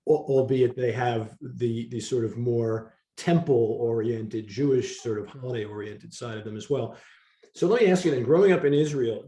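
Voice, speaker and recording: Mellow-voiced man interviewing in a roomy space. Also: mid-range voice. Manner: engaged, asks long questions. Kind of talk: conversational interview.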